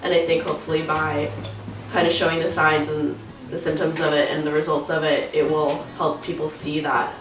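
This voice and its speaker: Low-voiced nineteen-year-old woman, hesitant. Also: flat delivery, normal speed, roomy sound, far from the mic, music in the background, rough recording. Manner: soft, hesitant, with fillers. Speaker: student speaker. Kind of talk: earnest awareness talk.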